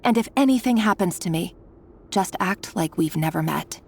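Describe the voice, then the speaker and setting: An actress playing an earnest, secretive and protective young woman in a video game, measured and resolute over background music. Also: on the low side, lively intonation, a little hiss or hum. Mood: earnest, guarded. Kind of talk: scripted video game voice acting. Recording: game dialogue with music underneath.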